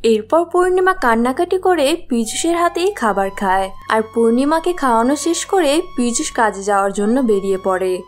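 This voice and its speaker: A woman's voice: on the high side, expressive, normal speed, soft background music. A woman narrating a scripted cartoon story as the storyteller.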